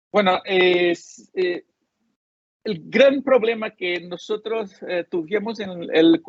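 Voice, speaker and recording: Gravelly, husky man lecturing over a call. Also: high-pitched, no echo. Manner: halting, many fillers and restarts. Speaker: conference speaker. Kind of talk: academic talk with Q&A. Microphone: video call. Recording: dull lo-fi call audio.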